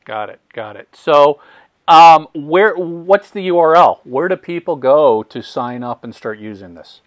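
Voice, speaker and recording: A man's voice: a little high, expressive, unhurried, dry recording. A man hosting a casual podcast interview, asking long questions with stammered restarts. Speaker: podcast host.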